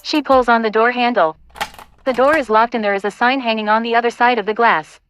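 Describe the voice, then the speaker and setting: A woman's voice: a little high, steady tone, brisk, fairly bright tone, soft background music. A woman reading scripted narration and stage directions for an audio play.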